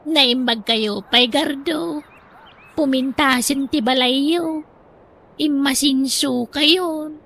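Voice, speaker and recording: A woman's voice: sits high, slow pace, vocal fry, pushed and bright, heavily acted. A woman with an Ilocano accent playing an emotional, plain-spoken older village woman in a radio drama. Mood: friendly.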